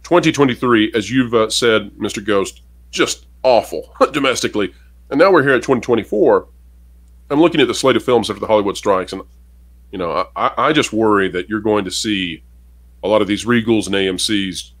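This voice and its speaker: Opinionated low-voiced man. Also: fairly quick, a bit bright. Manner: opinionated, emphatic, talks straight to viewers. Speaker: podcast co-host. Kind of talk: casual commentary reading an article.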